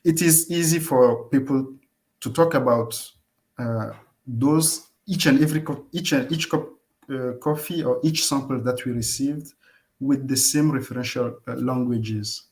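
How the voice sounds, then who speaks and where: Halting man with many uhs. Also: medium pitch, darkish tone. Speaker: guest researcher. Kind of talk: explaining in an interview.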